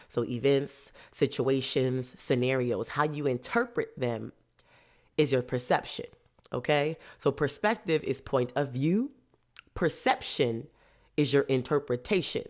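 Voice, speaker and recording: A woman, hosting a podcast and explaining ideas in a lively, laughing, casual way. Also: extremely low pitch. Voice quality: nasal. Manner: lively, laughs a lot, teasing. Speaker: podcast host. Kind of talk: casual teaching talk.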